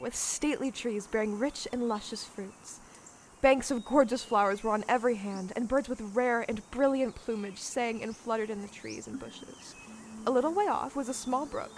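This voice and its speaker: A woman narrator giving a measured, expressive storybook narration in an audio play. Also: a little high. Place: live stage performance before an audience. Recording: background noise, live audience stage recording.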